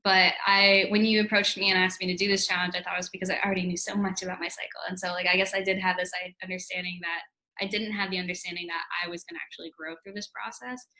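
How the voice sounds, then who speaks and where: A woman's voice: lowish voice, little pitch movement, fast talker, sharp tone, rough recording. A woman with an American accent talking casually in a video diary as a yoga teacher. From United States. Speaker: yoga teacher and Reiki practitioner.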